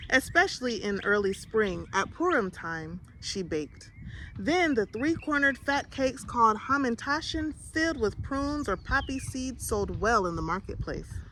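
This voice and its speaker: A woman reading a children's picture book aloud in an expressive storytelling style. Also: on the high side, a little slow, a little hiss or hum, poor audio. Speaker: storybook reader.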